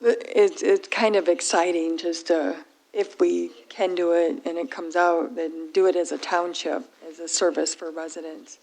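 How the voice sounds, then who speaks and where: A woman's voice: lowish voice, fairly even intonation, gravelly, a little mellow, poor audio. Woman losing her voice chairing a meeting. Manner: brisk procedural calls for votes. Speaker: board chair. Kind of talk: running a public meeting. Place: township board meeting room.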